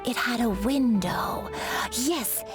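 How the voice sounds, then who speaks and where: A woman voicing a gentle, dreamy elderly grandmother in a video game, serene and wistful. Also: a little high, slow. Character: gentle, dreamy elderly granny with fading memory. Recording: game music behind the voice.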